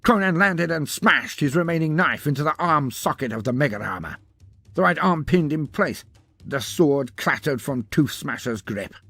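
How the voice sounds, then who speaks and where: A man's voice: a little high, lively intonation, normal speed, vocal fry, a bit bright, husky, dry recording. A man narrating a scripted fantasy tale in a theatrical, florid and wry manner. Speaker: storyteller narrator.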